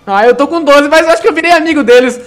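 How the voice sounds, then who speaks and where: A man putting on a shy high-pitched sidekick. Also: racing, fairly bright tone, faint music behind. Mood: sheepish. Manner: shy, hesitant, stammering. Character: timid, giggly young male sidekick.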